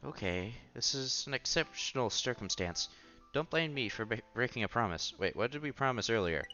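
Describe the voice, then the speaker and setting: A man's voice: mid-range voice, lively intonation, normal speed, muffled recording. A man reading a visual novel aloud on a headset mic, acting out the dialogue and adding offhand comments in a casual way. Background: soft music.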